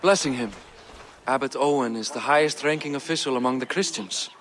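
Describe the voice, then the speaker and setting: A man playing a worldly king in a video game, explaining things in a measured, wry manner. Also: mid-range voice, a bit bright, lightly acted. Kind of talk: video game cutscene acting.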